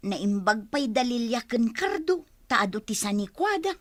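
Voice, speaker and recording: A woman's voice: fairly high pitch, sing-song, a little slow, no echo, clean studio sound. A woman with an Ilocano accent playing a poor, complaining young village wife in a radio comedy drama. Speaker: voice actor.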